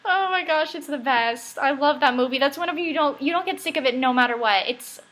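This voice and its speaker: A woman's voice: high voice, brisk, a bit bright. A woman interviewing casually, in a chatty, gushing and encouraging way.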